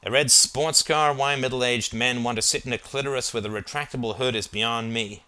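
A man voicing a brooding, sardonic young boy band singer who talks in halting, musing phrases as in an audiobook. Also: medium pitch, fairly even intonation, pushed and bright, natural voice. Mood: scornful. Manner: halting, muttering, thinking aloud.

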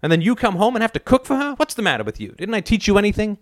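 A man's voice: high voice, very animated, racing, dry recording, clean studio sound, pitched above the performer's own voice. A man reading aloud and voicing a blunt, crude, domineering older mother who lectures her son with scorn. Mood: lecturing.

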